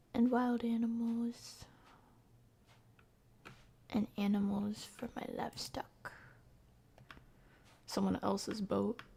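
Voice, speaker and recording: A woman streamer giving casual, chatty horror game commentary, muttering to herself in a breathy voice that often drops to a whisper. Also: a little high, warm tone.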